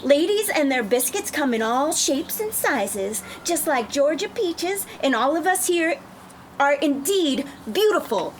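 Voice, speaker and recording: A woman playing a brash, sassy, proud plus-sized customer, theatrical and sharp-tongued, in a screenplay table read. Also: high-pitched, sing-song, a little slow, slight background noise.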